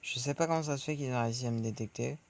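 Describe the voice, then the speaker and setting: A man's voice: mid-range voice, racing, creaky, slight background noise. A man giving rambling let's play commentary over his tank game, chatting to his viewers between shots. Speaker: gaming video creator.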